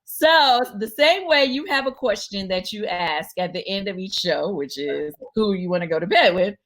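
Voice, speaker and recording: Woman interviewer, expressive swooping voice, chatty. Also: mid-range voice, normal speed. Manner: lively, exclaims and laughs. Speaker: host. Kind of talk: casual interview chat.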